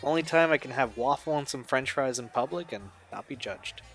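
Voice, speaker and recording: A man's voice: medium pitch, expressive, brisk, fairly bright tone, soft background music, lightly acted. A man playing an easygoing, supportive young friend in an audio drama, speaking casually. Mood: friendly, casual. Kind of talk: audio drama dialogue, naturalistic amateur acting.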